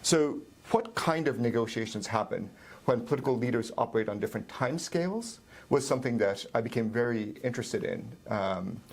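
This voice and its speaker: A middle-aged man from Ireland, a professor answering interview questions in a measured, explanatory way with frequent pauses and ums. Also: mid-range voice, expressive, a little mellow.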